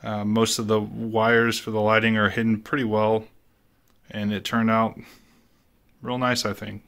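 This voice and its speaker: Low-voiced man touring his collection. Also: level tone, measured pace, gravelly, fairly bright tone. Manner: plain, matter-of-fact, with frequent "uh" fillers. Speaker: statue collector giving a room tour. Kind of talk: casual voice-over room tour.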